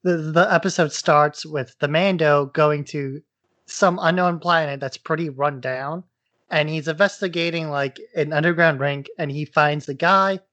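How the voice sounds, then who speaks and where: A man's voice: on the high side, fairly bright tone, muffled recording. A man co-hosting a podcast with casual, conversational commentary and a wry, informal way of talking. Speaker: podcast co-host.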